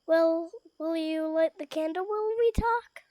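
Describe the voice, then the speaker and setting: An actor as a timid, high-voiced young boy. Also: measured pace, a little mellow, dry recording. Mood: timid, uneasy. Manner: hesitant, apologetic. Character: timid, polite young boy who cannot sleep. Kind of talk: radio drama acting.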